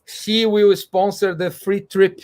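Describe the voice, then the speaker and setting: Slow-speaking man, halting English. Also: high voice, flat delivery, darkish tone. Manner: halting, repeats words, self-correcting. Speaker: co-host and English learner. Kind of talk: casual livestream chat.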